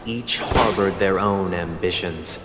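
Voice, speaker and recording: A slow, fairly low-voiced male narrator tells a mythic game tale with solemn grandeur. His formal delivery rings through a long hall reverb over music. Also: very noisy. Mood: grave.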